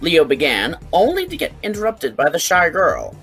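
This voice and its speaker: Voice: mid-range voice, huge pitch swings, moderate pace, fairly bright tone, breathy edge, faint music behind. A narrator reading a scripted fan fiction story.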